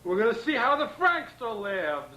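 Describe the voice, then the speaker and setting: A man's voice: very high-pitched, big pitch swings, moderate pace, pushed and bright. A man with a Brooklyn accent rambles profanely to a friend on a home camcorder tape in his apartment bedroom. He boasts, makes threats, stutters through restarts and says "man" constantly. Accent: Brooklyn New York. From Brooklyn, New York. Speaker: home video maker. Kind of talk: rambling camcorder address to a pen pal. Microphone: camcorder on a tripod. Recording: roomy, distant 1990 camcorder tape with hiss.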